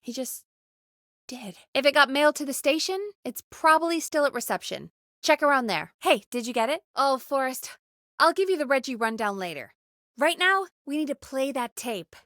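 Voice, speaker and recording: A woman's voice: high-pitched, lively intonation, normal speed, fairly bright tone, dry recording, clean studio sound. A woman playing a frank, anxious but caring radio producer in a video game, talking in an informal, emphatic, exasperated way. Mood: hushed, grave, then businesslike. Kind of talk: conversational video game voice acting.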